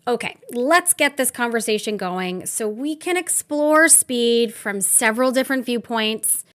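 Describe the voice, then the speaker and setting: A woman's voice: on the high side, lively intonation, measured pace. A woman hosting a podcast in an upbeat, encouraging way as she introduces and questions her guests. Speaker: podcast host.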